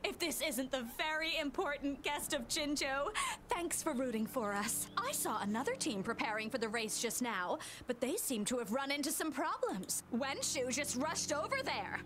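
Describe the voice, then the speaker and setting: A woman's voice: high voice, animated, moderate pace, background noise. An actress voicing a spirited, commanding young female team captain in a video game. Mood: friendly, eager.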